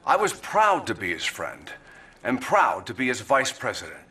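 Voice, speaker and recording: An actor playing a smug, cynical politician who gives a podium speech, swinging between loud proclamations and hushed asides. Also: male voice, mid-range voice, wildly swooping pitch, moderate pace, full character voice. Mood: oratorical. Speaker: vice president.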